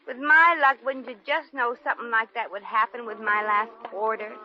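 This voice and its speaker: A woman's voice: fairly high pitch, steady tone, normal speed, natural voice. An actress playing a brassy, hard-up showgirl with a slangy, sassy manner in a 1950s radio comedy. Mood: weary, wry. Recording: dull old radio recording with hiss.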